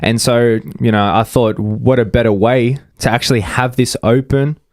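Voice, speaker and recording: A man hosting a casual podcast interview, friendly and chatty, often pausing on fillers. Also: medium pitch, fairly even intonation, a little mellow, no room sound, clean studio sound. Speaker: podcast host.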